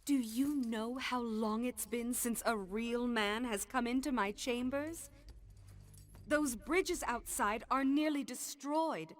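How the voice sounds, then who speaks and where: An actress playing a sultry goddess of love in a video game, seductive, unhurried and disdainful. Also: female voice, on the high side. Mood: teasing, dismissive. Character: sultry, languid goddess of love, teasing and dismissive. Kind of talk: dramatic video game voice acting.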